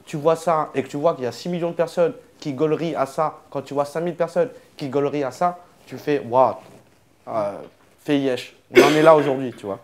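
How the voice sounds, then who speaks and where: A man's voice: fairly high pitch, a little fast. A young adult man, a comedian guest, talking in a casual conversation and weighing his words carefully.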